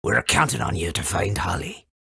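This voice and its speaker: A man voicing a proud, helpful, folksy forest creature in a video game, with a raspy, creaky voice. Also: low-pitched, lively intonation, moderate pace, bright, forceful tone, dry recording, exaggerated voice. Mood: proud, explanatory. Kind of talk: video game character acting.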